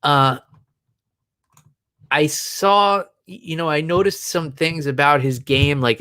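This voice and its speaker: A man's voice: medium pitch, fairly bright tone, smooth, no echo, studio quality. A man co-hosting a casual sports podcast, rambling with lots of fillers and restarts. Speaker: podcast co-host. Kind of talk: casual chat.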